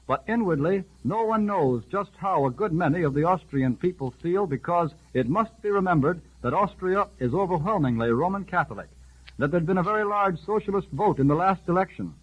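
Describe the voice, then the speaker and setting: A man reading a formal news bulletin for an old 1938 radio broadcast, urgent and rapid in his delivery. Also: sits high, expressive, breathy edge, muffled recording. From United States. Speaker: radio newscaster.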